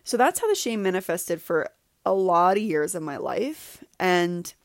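A woman's voice: on the low side, big pitch swings, a little slow, no room sound. Candid woman in her thirties, dry sound. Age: 30s. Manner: candid and confessional, with laughs and repetitions. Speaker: podcast host. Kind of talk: reflective personal monologue.

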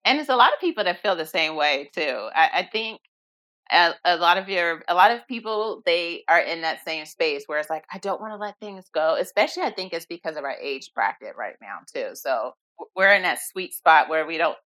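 A woman's voice: lowish voice, animated, normal speed, a bit bright. A woman around forty, a guest in a casual interview, talking in a reflective, earnest way with small restarts. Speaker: guest, minimalism business owner.

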